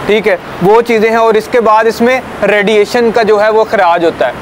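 A man's voice: high-pitched, steady tone, moderate pace, a little hiss or hum. A young man giving a hands-on welding safety lesson outdoors to a small group, explaining step by step and often checking that they follow. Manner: explanatory, checks understanding with "ठीक है" and "समझ आई है?". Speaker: safety trainer. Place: outdoors beside a building, small group of trainees. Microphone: camera at a few meters.

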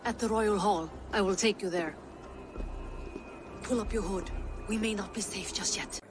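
A woman's voice: medium pitch, normal speed, loud music behind the voice, close to an everyday voice. An actress playing a tense, guarded, suspicious Norse woman in a video game. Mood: relieved but tense. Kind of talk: video game cutscene acting.

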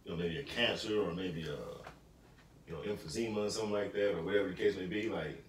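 A man's voice: deep voice, fast, slight background noise. A man in his thirties co-hosting a reaction video at home, talking casually and rambling with lots of fillers. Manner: laid-back, rambling with many fillers. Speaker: reaction channel co-host. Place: bedroom, indoors. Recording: echoey room, mic far off.

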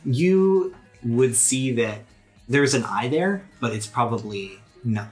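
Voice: medium pitch, animated, takes it slowly, a little mellow, soft background music, rough recording. A game master explaining rules and narrating a tabletop game in a conversational, explanatory way.

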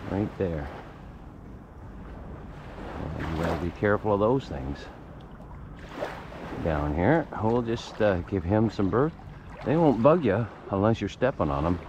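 A man's voice: fairly low pitch, expressive, normal speed, lo-fi sound. Man vlogging casually over noisy background. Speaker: fishing channel host. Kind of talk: casual fishing vlog narration. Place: garage, outdoor beach and shoreline, varied spots.

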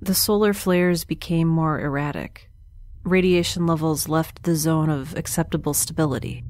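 A woman's voice: deep voice, steady tone, normal speed, darkish tone, dry recording, lightly acted. An actress playing a lone young woman stranded in space who records a log, talking in a hesitant, wry way with dry asides. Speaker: narrator keeping a log. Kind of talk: audio drama monologue.

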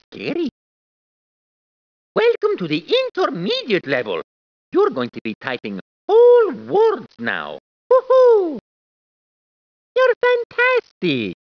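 A man's voice: high voice, no room sound, heavily acted. A man voicing a cheerful, clowning cartoon plumber in a video game, with a put-on Italian accent, squeals and laughs. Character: cheerful plumber man, jokey, eager and bouncy. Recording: dull, dry game audio.

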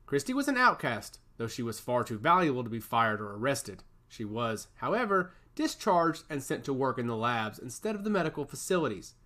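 A man narrating a story as an audiobook with an expressive, storytelling cadence. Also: mid-range voice, a little fast, hardly any pauses.

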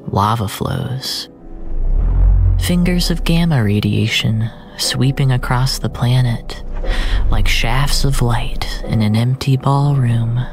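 A narrator delivering a scripted, surreal audio drama in a measured, dramatic way, often sinking into a breathy near-whisper. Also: mid-range voice.

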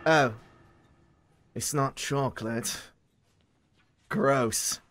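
A man's voice: mid-range voice, very slow, fairly bright tone, faint music behind. A man voicing a nervous, lovesick young blacksmith, hesitant and awkward, in an improvised comedy dub. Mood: nervous, eager.

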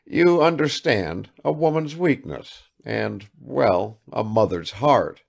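A man's voice: fairly low pitch, animated, slow pace, vocal fry, full character voice. A man reading aloud and voicing a fussy, emotional elderly retired army father who tries to hide his feelings. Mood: flustered.

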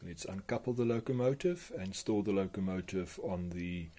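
A man narrating a hobby video in measured, broken phrases with pauses. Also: low voice, mellow. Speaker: model railway hobbyist narrator. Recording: clearly noisy amateur recording.

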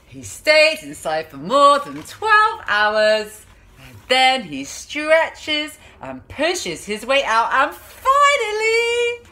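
A woman in her thirties presents a playful read-aloud as a vlogger at home. Her voice is bright and crisp-edged, with highly animated pitch. She leans into words with theatrical emphasis and drops into chatty asides. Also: drawn-out words. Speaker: parenting vlogger, host. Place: small room at home.

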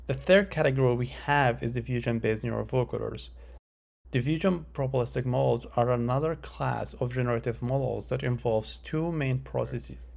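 A man giving a scripted academic presentation as a researcher, in a measured, formal, explanatory way. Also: medium pitch, gravelly, a little mellow. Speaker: researcher presenting a conference paper.

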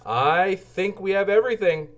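A man's voice: high voice, lively intonation, moderate pace, fairly bright tone, a little hiss or hum, pitched above the performer's own voice. A man voicing an earnest young boy cat in a children's storybook, polite and eager to help. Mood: pleased, grateful.

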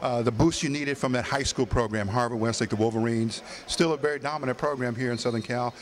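A man's voice: medium pitch, steady tone, fast talker. An older man, a sports reporter, asking long, rambling questions full of "uh" hesitations, into a handheld mic in an arena concourse. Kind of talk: post-game interview. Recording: crowd noise behind.

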